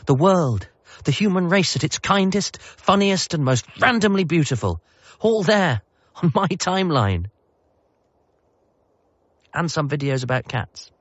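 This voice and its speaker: A man acting a wry, reflective, grieving son who narrates his memories in a measured, lyrical way for radio drama. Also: a little high, sing-song, no echo, highs cut off. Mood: wondering, wry. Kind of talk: scripted first-person narration in radio drama.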